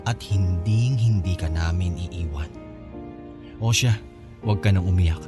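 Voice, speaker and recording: Low-voiced, campy Filipino father. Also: unhurried, music in the background. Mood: consoling, tender. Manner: campy, affectionate, consoling. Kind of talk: radio drama acting.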